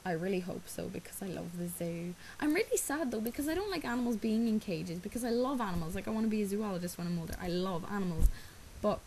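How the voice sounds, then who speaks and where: A woman's voice: mid-range voice, lively intonation, fast talker, darkish tone, slight background noise, low-quality recording. A teenage girl with an Irish accent, whose first language is Irish, chatting casually to camera. She rambles with lots of ums and likes and has a sore throat from a cough. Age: teens. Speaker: vlogger. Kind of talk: casual chat.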